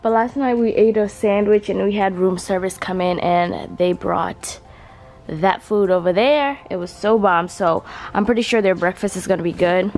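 A woman's voice: medium pitch, moderate pace, darkish tone, faint music behind. A young woman filming a casual vlog, talking in a chatty, slangy way to viewers and her partner while walking through a hotel room with a handheld camera. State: voice raspy from just waking up. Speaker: vlogger.

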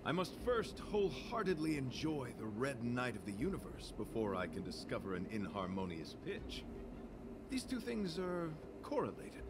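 Male actor as earnest game knight, florid and ceremonious, animated intonation, noisy background. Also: medium pitch, moderate pace, lightly acted. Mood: serene, resolute. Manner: formal, florid, ceremonious phrasing. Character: courtly, earnest male knight of beauty, serenely grandiose. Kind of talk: video game voice acting. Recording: game audio with clear background noise.

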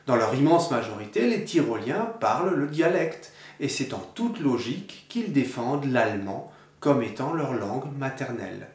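A middle-aged man giving a measured, didactic explanation to camera in a small indoor room. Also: mid-range voice, sing-song, distant mic. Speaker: video presenter on regional language. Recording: echoey untreated room.

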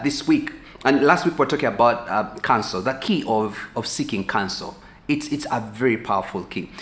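A pastor in his forties with a Ugandan accent, giving a relaxed, talkative sermon to the camera from a sofa, gesturing as he repeats words while he thinks aloud. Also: mid-range voice. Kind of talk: conversational teaching sermon to camera. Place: small room, seated on a sofa indoors. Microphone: camera at a few feet, medium shot. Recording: echoey room with faint hiss.

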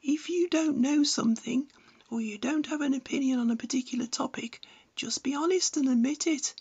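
A woman's voice: sits high, normal speed, darkish tone. A woman reading scripted instructional narration in a blunt, didactic manner. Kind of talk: scripted narration, tutorial with opinionated advice.

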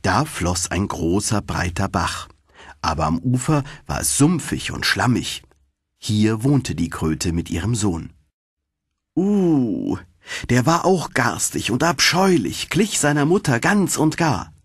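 A man narrating a fairy tale as a scripted, expressive audiobook reading. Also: lowish voice, takes it slowly, slightly rough, no echo, studio quality.

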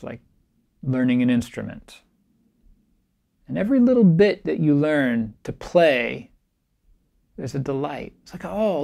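A middle-aged man with a pitch that sits in the middle of the male range and a heavily gravelly texture. He teaches meditation and gives a keynote in a calm, reflective way, gently pressing his points. He speaks to camera in a small, bright room. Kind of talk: recorded keynote talk. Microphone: camera framed at chest height, facing the speaker.

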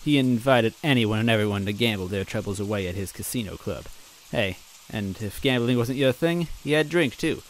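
A man casually reading a fan story aloud, rambling between passages and clearing his throat. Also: fairly low pitch, expressive, brisk, hardly any pauses, slight background noise, rough recording. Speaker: reader and narrator.

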